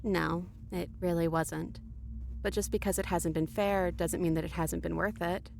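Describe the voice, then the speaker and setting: A woman playing a sincere, wry young princess in a video game, talking in a soft, conversational way. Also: fairly low pitch, steady tone, normal speed, gravelly, a little hiss or hum. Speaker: game character.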